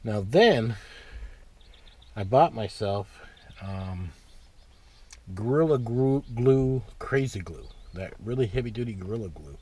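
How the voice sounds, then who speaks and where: A man giving a casual, unscripted DIY walkthrough, explaining step by step in a plain-spoken, halting way. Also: on the low side, animated, warm tone, slightly rough. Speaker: DIY how-to presenter. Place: in or beside a parked pickup truck.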